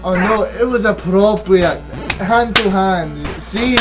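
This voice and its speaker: A man playing a slow, dim luchador sidekick. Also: high voice, over music. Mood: whiny. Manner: terse interjections in broken English. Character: dim male luchador sidekick who mostly says yes. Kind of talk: comic game review in character.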